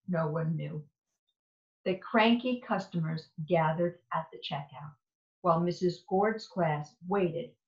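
A woman reading a children's picture book aloud in an expressive, playful and deliberate way. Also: lowish voice. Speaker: storybook reader. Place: indoor room at home. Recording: echoey room, dull lo-fi sound.

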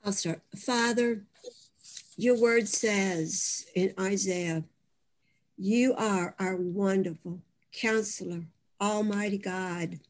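An older woman reciting scripture and prayer in a solemn, measured way over a video call from home. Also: mid-range voice, fairly even intonation. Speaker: prayer group member. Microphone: video call device mic.

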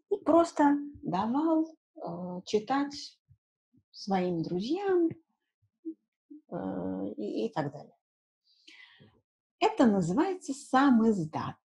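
A woman in her thirties gives an informal language lesson in a soft-edged, husky voice. Her tone is mellow and her pace is slow. She explains things simply, repeats key words and checks in with short tag questions. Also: medium pitch, rough recording. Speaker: language teacher. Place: small room indoors at home.

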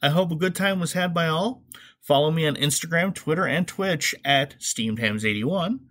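A man's voice: a little high, normal speed, fairly bright tone. A man hosting a podcast, giving comedic, opinionated commentary in a sarcastic way with emphatic asides. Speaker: podcast host.

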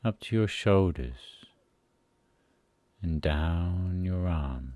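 Guy doing a sleep meditation, really deep mellow voice, soothing short phrases with pauses, dry close sound. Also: animated, dry recording. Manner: soothing, unhurried, pausing between short phrases. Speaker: meditation guide.

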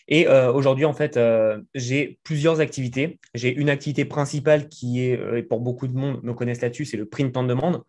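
A man's voice: mid-range voice, steady tone, brisk, hardly any pauses, a little mellow. Chatty French-born man in his thirties. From France. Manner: chatty, many fillers, gestures while talking. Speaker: guest entrepreneur. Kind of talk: casual interview talk. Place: living room, indoors. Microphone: desk mic in frame.

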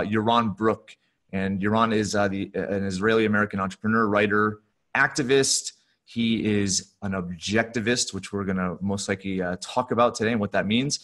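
A middle-aged man hosting a conversational podcast interview over video call, speaking haltingly and rephrasing as he goes. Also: on the low side, a bit bright. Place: small furnished room at home or office, on a video call.

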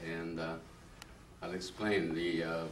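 A man in his eighties from Czechoslovakia, speaking slowly with many pauses in a recorded oral history interview in a classroom. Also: low-pitched, flat delivery. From Carpathian Ruthenia, then Czechoslovakia. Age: older; 80s. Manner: slow and halting, many "uh" fillers. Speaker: interviewee, Holocaust survivor. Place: small classroom, indoors. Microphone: far camera. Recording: roomy echo, distant mic, faint hiss.

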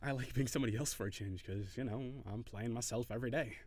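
A man playing a cheery, shy, flirty kitsune barista in an intimate audio roleplay, speaking hesitantly and giggly, with gentle encouragement. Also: medium pitch, fast, no echo, close to an everyday voice. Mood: bashful, enthusiastic.

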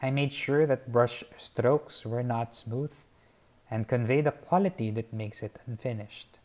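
A young man from the Philippines talks through his painting in a slow, earnest, formal way, his voice sitting at an ordinary middle pitch. He speaks from a fixed camera a short way off on an open garden patio. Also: darkish tone. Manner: measured, earnest, formal wording. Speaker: painter explaining his artwork. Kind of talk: reflective artist talk. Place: covered patio by a garden, outdoors.

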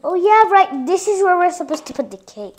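A female voice giving a chatty tour of a toy dollhouse playset, addressing viewers directly and sometimes dropping into soft whispers. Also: very high-pitched, sing-song, brisk. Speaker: toy reviewer.

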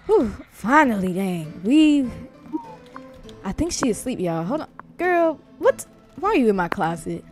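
Woman as a high-pitched, jumpy young woman. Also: very animated, unhurried, music in the background. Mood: wary, bossy. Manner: stammering, repeating words, panicky, sassy. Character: jumpy, bossy young woman at a scary sleepover. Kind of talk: improvised amateur voiced roleplay comedy.

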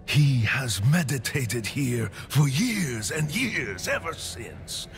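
Slow, fervent religious zealot. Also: male voice, mid-range voice, very animated, faint music behind. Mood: reverent. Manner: reverent, intense, drawn-out. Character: fervent, rapturous male religious devotee narrating a prophecy. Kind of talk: dramatic game cinematic monologue.

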